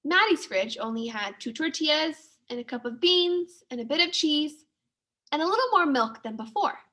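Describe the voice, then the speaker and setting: A woman teacher reading a children's storybook aloud to young pupils in an expressive manner. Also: high voice, normal speed. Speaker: second-grade teacher.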